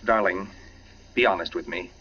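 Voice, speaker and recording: An actor playing an uneasy, suspicious suburban husband in a 1940s radio drama. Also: male voice, sits low, normal speed, bright, forceful tone, breathy edge, full character voice. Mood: uneasy, probing. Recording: muffled 1940s radio recording.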